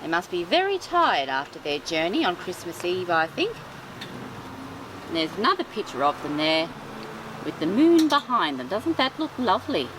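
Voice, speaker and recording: A woman's voice: fairly low pitch, sing-song, normal speed, background noise. A woman reading a picture book aloud to young children, adding cosy, chatty asides and repeating herself. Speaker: storyteller and host of a children's show. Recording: music behind the voice. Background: clearly audible music.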